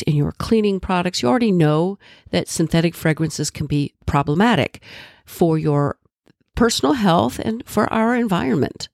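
A woman's voice: on the low side, a little mellow, dry recording, clean studio sound. A woman podcast host with a congested, nasal voice from a cold, giving a friendly solo explanation with asides. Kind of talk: solo educational podcast talk.

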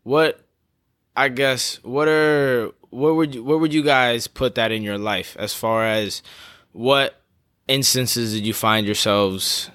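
A man co-hosting a casual podcast, reflective and a little hesitant as he thinks aloud. His tone is bright and crisp, recorded dry and close. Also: lowish voice, no room sound. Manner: reflective, hesitant with fillers and restarts. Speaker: podcast co-host.

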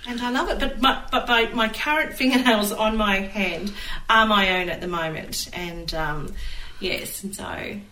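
A woman's voice: medium pitch, measured pace, bright, forceful tone. A woman hosting a casual conversational talk show. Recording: echoey, distant mic, faint noise.

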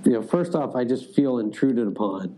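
A man's voice: medium pitch, lively intonation, fairly quick, warm tone, poor audio. A man in a casual interview, speaking loosely, with lots of "like" and restarts. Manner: loose, filler-heavy, frequent "like". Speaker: sales executive guest.

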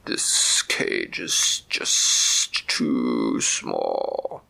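Huge, very deep, slow snake. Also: male voice, animated, creaky, pushed and bright, heavily acted. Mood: mournful. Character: huge deep-voiced homesick river snake.

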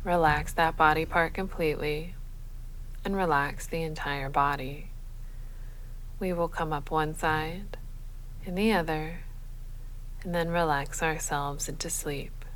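A woman leading a guided sleep meditation as a yoga nidra guide, speaking in a measured, soothing, unhurried manner. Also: low-pitched, low-quality recording. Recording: faint steady background noise.

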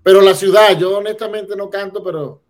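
A man's voice: high-pitched, steady tone, brisk. A man from Venezuela with a Venezuelan accent, a guest telling his life story, with frequent restarts and fillers. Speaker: guest, entrepreneur. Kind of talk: casual interview.

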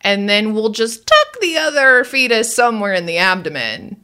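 A woman co-hosting a show, recapping and commenting casually, with wry, animated retelling and questioning asides. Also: fairly high pitch, moderate pace, clean tone. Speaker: podcast co-host.

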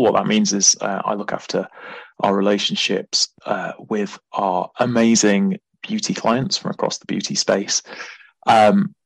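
A man's voice: fairly low pitch, vocal fry, no echo, poor audio. A man explaining his company's work as a director and interview guest. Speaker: client services director, podcast guest.

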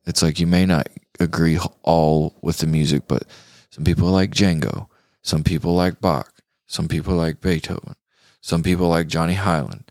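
A man's voice: low-pitched, fairly even intonation, normal speed, a little mellow, no room sound, clean studio sound. An American man hosting a podcast, chatting casually about music in a laid-back, slangy way. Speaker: podcast host.

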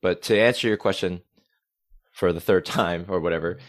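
An energetic man, a podcast guest talking casually and swearing freely. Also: low-pitched, moderate pace. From United States. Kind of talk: casual conversation.

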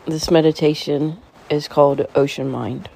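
Low, mellow woman guiding a meditation. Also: fairly even intonation, creaky, breathy edge, low-quality recording. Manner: gentle, soothing, unhurried phrasing with pauses. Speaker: meditation guide. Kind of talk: guided meditation.

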